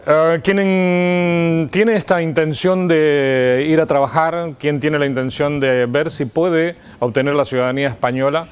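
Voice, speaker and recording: A man's voice: on the high side, steady tone, unhurried, clear, resonant tone. A middle-aged man with an Argentine accent, hosting a live TV interview in a conversational way. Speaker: TV host. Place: TV studio desk. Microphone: lapel mic.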